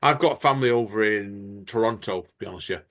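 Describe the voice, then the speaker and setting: A man's voice: on the low side, quick pace, fairly bright tone. A man hosting a podcast chat, talking in a chatty, rambling, enthusiastic way. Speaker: podcast host. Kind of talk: casual chat.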